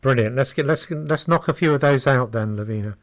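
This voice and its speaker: Halting British man, casual radio host. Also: mid-range voice. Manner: hesitant, halting phrasing with repeated words. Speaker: radio interviewer. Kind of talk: casual radio interview chat.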